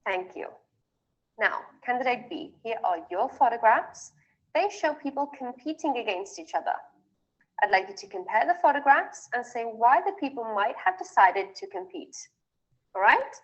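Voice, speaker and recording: A woman acting as examiner, reading formal exam prompts in a measured way. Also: fairly high pitch, expressive, lo-fi sound. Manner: formal, measured, rising on each question.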